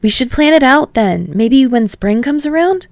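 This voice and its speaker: A woman voicing a cheerful, affectionate, excitable man in a fan fiction audiobook, with an eager, emotive delivery. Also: high-pitched, moderate pace, dry recording, studio quality, voice pushed up.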